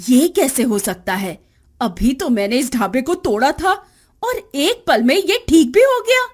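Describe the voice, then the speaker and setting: A woman playing a jealous, scheming eatery owner in an animated moral story, with a dramatic, spiteful manner. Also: high voice, measured pace. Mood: shocked.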